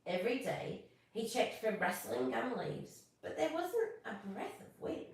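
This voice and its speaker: An Australian woman hosting a live stream, moving between casual chat and expressive storybook reading. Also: fairly high pitch, a little slow, gravelly. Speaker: live stream host reading bedtime stories. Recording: echoey room, distant from mic.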